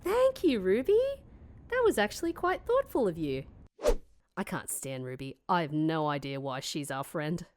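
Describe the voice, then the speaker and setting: A woman's voice: low voice, very animated, normal speed, a little hiss or hum. A woman playing a grumpy, sardonic, hungover young woman in a comedic fantasy audio drama, sarcastic and dry. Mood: mock-sweet. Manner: sarcastic, mock-sweet then dry.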